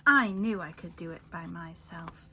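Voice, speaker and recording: A woman reading children's picture books aloud as a storyteller, theatrical and expressive with dramatic pauses and emphasis. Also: lowish voice, background noise.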